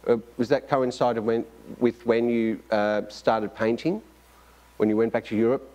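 A man's voice: mid-range voice, fairly even intonation, normal speed, darkish tone, dull audio. Hesitant middle-aged male show host. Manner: hesitant, many fillers. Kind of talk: interview hosting. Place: studio with staircase.

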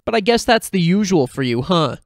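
A man's voice: sits high, big pitch swings, fairly quick, no room sound, clean studio sound, voice pushed up. A man voicing a smug middle-aged cartoon rival who talks in a smirking, taunting way.

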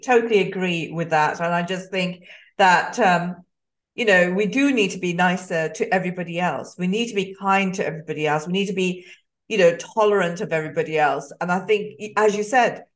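A woman's voice: fairly low pitch, normal speed. Woman in her fifties hosting a podcast on a headset, eager and earnest, lots of you knows. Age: 50s. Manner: enthusiastic, earnest, many fillers. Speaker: podcast host. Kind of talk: video call interview. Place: home room.

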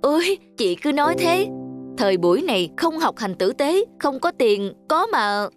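A woman's voice: high voice, sing-song, moderate pace, soft background music. A woman voicing an impatient, fretful, strict young mother in a cartoon. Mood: insistent. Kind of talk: cartoon dubbing.

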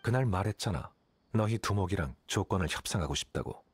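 A man voicing a cool, blunt, calculating young scholar in a video game, with a detached, measured, condescending manner. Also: low-pitched, animated, husky. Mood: cool, threatening. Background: game music.

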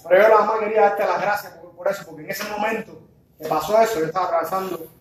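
A man's voice: high-pitched, fast, crowd noise. Echoey Cuban man speaking up in a lecture hall. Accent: Cuban Spanish. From Cuba. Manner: animated, gestures while speaking, restarts and fillers. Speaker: audience member. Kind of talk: impassioned public comment. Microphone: far phone camera. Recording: very echoey hall, distant, noisy.

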